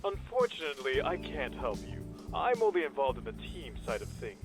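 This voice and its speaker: A curt corporate account manager. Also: male voice, mid-range voice, big pitch swings, fairly quick, vocal fry. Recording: game voice over music.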